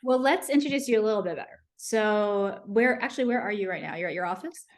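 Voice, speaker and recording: A young woman hosting a podcast over a video call, asking quick, upbeat questions. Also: mid-range voice, animated. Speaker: podcast host. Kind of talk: friendly interview hosting.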